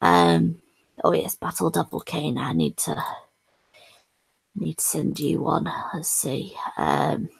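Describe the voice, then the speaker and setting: A woman with a voice worn hoarse talks to her stream in a low, gravelly register. She moves slowly and haltingly, slipping into whispers as she sorts things out. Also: very animated, poor audio. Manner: halting, whispery asides, laughs often. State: hoarse, has lost her voice. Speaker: streamer hosting a supporter stream. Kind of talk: casual chat.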